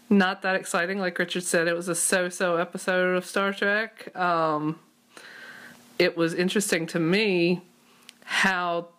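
Lowish-voiced woman co-hosting a podcast. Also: a bit bright. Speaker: podcast co-host. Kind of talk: casual podcast chat.

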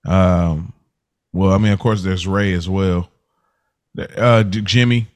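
A man's voice: low voice, fairly even intonation, takes it slowly, darkish tone. Laid-back man recapping a show. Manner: rambling, loose, chuckles often. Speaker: podcast co-host. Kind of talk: casual recap chat.

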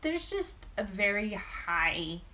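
A woman in casual podcast conversation, telling stories in an animated way and laughing often. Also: mid-range voice, slow pace, slight background noise. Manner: giggly, animated storytelling with frequent laughs. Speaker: podcast co-host. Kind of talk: casual chat.

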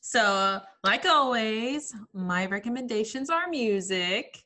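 A young woman co-hosting a podcast in casual chat, with a flat, fairly monotone way of talking. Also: on the high side, takes it slowly. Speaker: podcast co-host.

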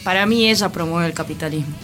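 Argentine woman co-hosting over soft music. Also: on the low side, fast talker. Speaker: radio co-host. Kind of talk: casual chat. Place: radio studio. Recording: music bed behind the voice.

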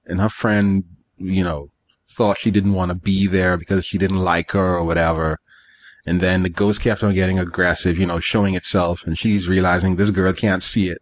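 A man co-hosting a podcast, chatting casually and retelling things in a halting, informal way. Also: low voice, flat delivery. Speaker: podcast co-host. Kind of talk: casual chat, recounting episodes.

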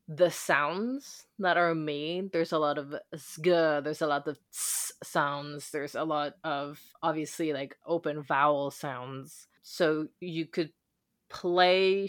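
Low-voiced reflective woman, dry sound. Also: fairly bright tone, dry recording. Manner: thoughtful, reflective, with frequent fillers. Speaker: podcast co-host. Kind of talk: casual book discussion.

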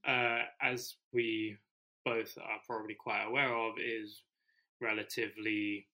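Bright-voiced thoughtful man. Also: lowish voice, low-quality recording. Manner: measured, thoughtful explaining. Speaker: podcast co-host, teacher. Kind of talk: casual conversation.

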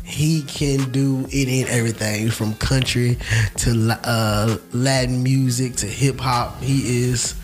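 A man's voice: medium pitch, fairly even intonation, slow pace, soft background music. A young man co-hosting a casual sports chat show, talking in a laid-back, slangy, rambling way. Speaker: podcast co-host.

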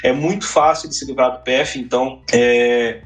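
A man, chatting casually on a podcast, telling a relaxed story full of slang and restarts. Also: mid-range voice, moderate pace. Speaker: podcast co-host, competitive card player.

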